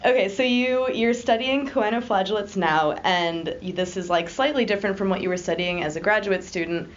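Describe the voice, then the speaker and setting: A woman's voice: mid-range voice, brisk. A woman interviewing a scientist for a podcast, asking curious questions and giving brief reactions. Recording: slightly dull audio with faint noise.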